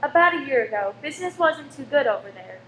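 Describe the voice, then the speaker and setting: Woman acting a high-voiced, guarded wife, echoey. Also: lively intonation, fast talker, a bit bright. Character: polite, protective married American woman, gently wry. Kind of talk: radio play acting. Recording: echoey, faintly noisy room.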